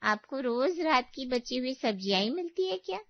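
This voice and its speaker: A woman's voice: sits high, big pitch swings, moderate pace, no room sound, highs cut off. A woman playing a meddling, disapproving middle-aged aunt in a family story, pointed and mock-sympathetic in manner. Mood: mock sympathy. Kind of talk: acted dialogue in a Hindi family story.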